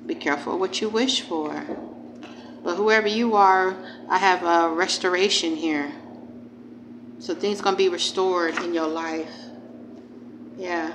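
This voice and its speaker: A woman's voice: fairly low pitch, steady tone, measured pace, pauses often. A woman giving a casual spiritual tarot reading, informal and emphatic, repeating phrases and speaking straight to the viewer. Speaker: tarot reader, channel host. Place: indoors at a table. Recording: clearly noisy home recording.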